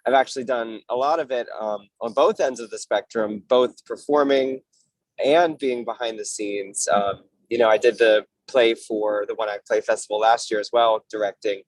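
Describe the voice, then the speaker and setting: A man's voice: mid-range voice, fairly even intonation, moderate pace, no echo, low-quality recording. A man speaking casually as a theater director guest on a video call panel, chatty and loosely worded.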